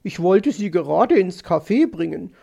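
A man plays a fussy lady magpie from a children's storybook. The voice sits very high and jumps out in excitable, easily startled exclamations. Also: moderate pace, creaky, muffled recording, exaggerated voice. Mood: concerned, surprised.

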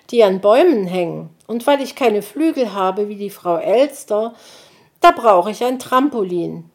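A woman voicing a little boy hedgehog, high, eager, chattering. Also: darkish tone.

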